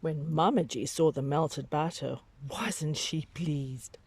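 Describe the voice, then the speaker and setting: A woman reading a picture book aloud to young children, with dramatic, drawn-out emphasis and hushed whispery moments. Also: sits low, breathy edge.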